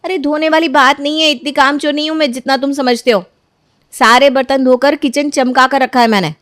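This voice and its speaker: A woman voicing a careless, defensive young wife in an animated story. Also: high-pitched, fairly quick, no room sound, clean studio sound, voice pushed up. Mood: defiant. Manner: defensive, argumentative.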